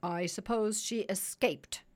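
A woman voicing a grumbling, scornful crocodile in a storybook, with a drawn-out way of talking. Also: fairly high pitch, lively intonation, clear, resonant tone, pitched above the performer's own voice.

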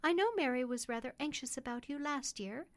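A woman's voice: sits high, brisk, pitched above the performer's own voice. A woman voicing a gracious, well-to-do lady in an audiobook story, cordial, polite and assured.

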